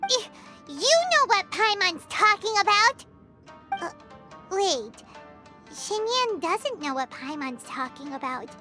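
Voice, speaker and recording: A woman voicing a tiny, childlike, excitable companion in a video game, squeaky and given to blurting things out. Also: slow pace, long pauses, exaggerated voice. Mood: alarmed, suspicious. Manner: excitable, refers to herself by name. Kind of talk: game cutscene acting. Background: music.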